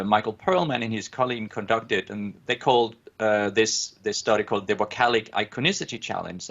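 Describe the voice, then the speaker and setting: A man with a Danish accent answers interview questions at a middling pitch. He hesitates often, with fillers and restarts. The audio is dull and lo-fi. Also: a bit bright. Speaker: guest researcher.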